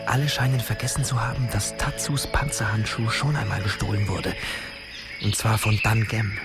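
An actor playing a scheming, secretive fantasy adventurer in a radio drama, speaking in a deliberate, conspiratorial way. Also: male voice, fairly low pitch, steady tone, lightly acted. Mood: secretive, confident. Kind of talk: German radio drama dialogue. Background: music.

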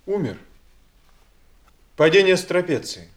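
An actor playing a terse, brooding travelling circus animal tamer in a theatrical radio play. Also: male voice, sits high, big pitch swings, measured pace. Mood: grave.

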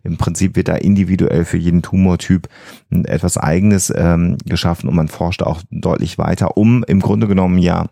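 Low, creaky-voiced man. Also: steady tone, moderate pace, dark tone, no echo, clean studio sound. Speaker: podcast co-host. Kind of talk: conversational explanatory podcast talk.

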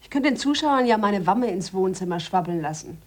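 Actress as a blunt, sarcastic woman, medium pitch. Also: animated, a little fast, a little mellow, natural voice. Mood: sarcastic, defiant. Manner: defiant, ironic, earthy. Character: blunt, sarcastic, self-mocking female scientist. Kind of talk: 1970s radio drama acting.

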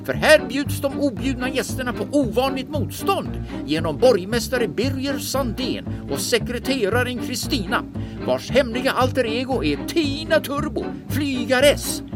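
A woman's voice: a little high, close to an everyday voice. A woman playing the dramatic announcer of an old-time radio adventure serial, narrating in a theatrical style. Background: music.

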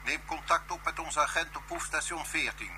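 Sharp, curt space base operator. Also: male voice, fairly high pitch, fairly quick, a little hiss or hum. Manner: clipped, formal. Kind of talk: Dutch science fiction radio play acting.

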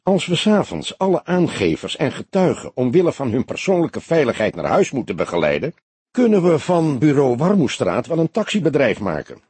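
A male narrator plays a young police detective in an audiobook, wry and sceptical. His husky voice rises and swoops as he questions, incredulous and dryly joking. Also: medium pitch, wildly swooping pitch, fairly quick, a little mellow, no room sound, pitched above the performer's own voice, full character voice.

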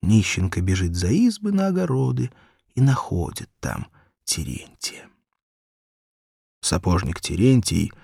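A man narrating a children's story in an expressive scripted reading. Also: deep voice, creaky, slightly rough, dry recording, studio quality. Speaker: audiobook narrator.

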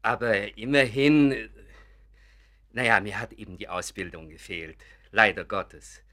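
An actor playing a vain, touchy bookkeeper who fancies himself an artist in a German radio play, self-important, hesitant and insistent. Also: male voice, lowish voice, fairly even intonation, unhurried, pushed and bright. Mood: defensive.

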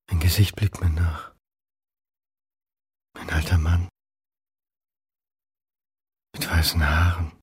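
A man's voice: low voice, breathy edge, dry recording, studio quality, full character voice. An actor playing an anxious, self-doubting history lecturer in his forties, in introspective radio drama monologue. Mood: dazed. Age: 40s.

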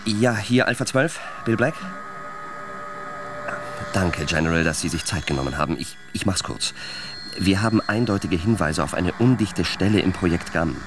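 Low-voiced brusque male agent, noisy background. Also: lively intonation, moderate pace. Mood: formal, urgent. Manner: terse, clipped phone talk. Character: brusque male security agent, stressed, clipped, official. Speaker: actor in a radio drama. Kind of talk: German radio play acting.

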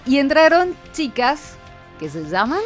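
A woman's voice: high voice, big pitch swings, unhurried, faint music behind. A woman hosting a community radio segment with school students, presenting in a friendly, upbeat way.

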